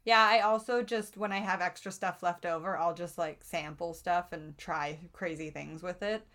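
A woman's voice: fairly low pitch, steady tone, normal speed, a bit bright. A woman with a slight cold, co-hosting a podcast in casual, playfully teasing chat. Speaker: podcast co-host. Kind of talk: casual chatty podcast banter.

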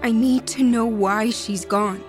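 A woman's voice: on the high side, steady tone, a little slow, natural voice. A woman playing an earnest, grieving young woman seeking answers in an audio drama, with music behind her. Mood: earnest, wounded.

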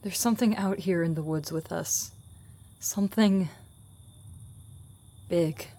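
A woman playing a bright, watchful young college student investigating in a horror audio drama, earnest and urgent. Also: fairly low pitch, takes it slowly. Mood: wary, probing. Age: young adult.

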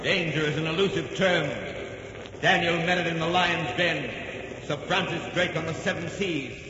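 An actor playing the spirit of adventure as a grand, portentous announcer, declaiming a 1940s radio show introduction. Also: male voice, fairly high pitch, steady tone, normal speed, noisy background, close to an everyday voice. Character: Adventure personified, a grand, dramatic male spirit. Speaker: announcer-narrator. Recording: muffled, echoing old radio recording.

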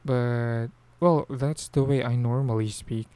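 A Filipino man with a Filipino accent talks unhurriedly on his solo podcast, rambling and restarting his sentences. His voice is mellow and smooth. The sound is dry and close. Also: mid-range voice, fairly even intonation, slow, dry recording. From Philippines. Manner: rambling, with frequent restarts and fillers. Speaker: podcast host. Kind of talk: casual solo talk.

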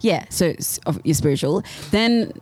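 A woman's voice: on the low side, animated, moderate pace, a little mellow, breathy edge. A woman co-hosting a podcast, explaining things hesitantly in casual banter. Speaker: podcast co-host.

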